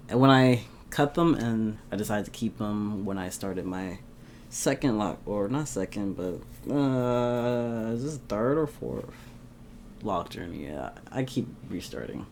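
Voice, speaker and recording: Young guy vlogging from home, slow easy talk, lowish voice, laughs a lot, faint hum behind. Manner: chatty, laughs often, animated bursts. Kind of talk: casual chat to camera. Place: indoors at home.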